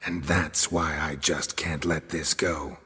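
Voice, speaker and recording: A grim monster hunter from a fantasy game, speaks in a rock-bottom, gravelly voice with a forceful edge. He is terse and menacing, and his words ring in a long reverb. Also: a bass voice, slow pace, exaggerated voice. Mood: quietly menacing. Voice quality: gravelly, raspy. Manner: terse, flat, threatening. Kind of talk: video game voice acting.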